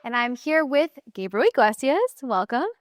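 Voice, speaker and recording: A woman's voice: high-pitched, animated, moderate pace, heavy background noise. A woman hosting a casual interview, asking questions in a chatty, informal way. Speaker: interviewer, host.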